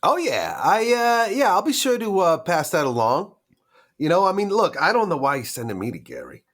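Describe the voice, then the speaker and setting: A man playing a nervous, hesitant, self-deprecating vampire informant with halting, folksy streetwise talk in a roleplaying game. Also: high voice, wildly swooping pitch, fairly bright tone, pitched above the performer's own voice, heavily acted. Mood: nervous, evasive. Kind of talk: improvised in-character roleplay.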